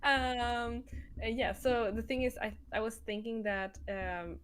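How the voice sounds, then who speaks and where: A woman's voice: a little high, steady tone, measured pace, smooth. A woman hosting a livestream as the game's creator, explaining casually in a hesitant, rambling way. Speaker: livestream host and game creator.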